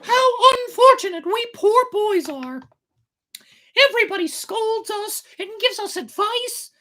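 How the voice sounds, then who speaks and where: A woman voicing a naive, stubborn little boy puppet in a squeaky, childishly dramatic voice for a storybook reading. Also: animated, a little slow, pushed and bright, heavily acted. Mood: stubborn, indignant. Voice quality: squeaky, shrill.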